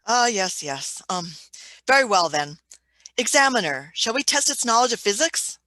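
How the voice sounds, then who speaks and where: A woman's voice: on the high side, very animated, moderate pace, sharp tone, no room sound. A woman playing an imperious, easily flustered scientist in an emphatic radio play reading. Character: excitable, imperious female scientist.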